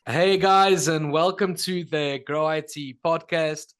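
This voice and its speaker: A man's voice: on the high side, takes it slowly, fairly bright tone, clean tone, soft background music. A man hosting a podcast, welcoming his guest and asking questions in an upbeat manner. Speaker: podcast host.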